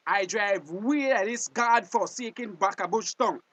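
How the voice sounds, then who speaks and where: An actor playing a haughty, impatient hustler in a screen drama, brash and demanding, with a Belizean accent. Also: male voice, sits high, unhurried, fairly bright tone, dry recording. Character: pushy, impatient male hustler, haughty and suspicious. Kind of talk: acted screen drama dialogue.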